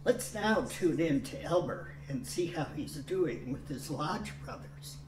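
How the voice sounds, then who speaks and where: An older man in a bow tie announcing an old-time-style radio show in a measured tone. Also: fairly high pitch, lively intonation, over music. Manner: slow, measured announcer delivery. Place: studio room with a dark backdrop. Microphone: stand mic on a tripod. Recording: roomy, distant, with background hiss.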